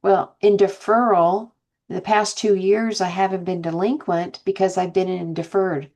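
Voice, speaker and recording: A woman's voice: mid-range voice, little pitch movement, moderate pace, a little mellow. A woman counselor giving an informal explanatory lesson, rambling a bit as she talks through hypothetical examples. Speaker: housing counselor presenting.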